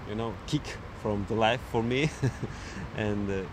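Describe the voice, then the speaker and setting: A man being interviewed outdoors, speaking haltingly with many fillers as he searches for words. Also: on the low side. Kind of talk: casual street interview. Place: outdoors on a city street. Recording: outdoor street noise with traffic.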